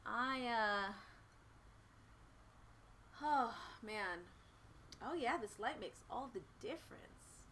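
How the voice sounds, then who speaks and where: A woman with a slightly raspy voice chatting casually and playfully in slang as a live stream host, full of exclamations. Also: fairly high pitch, expressive, drawn-out words, pauses often, sharp tone, slight background noise. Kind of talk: casual livestream chat.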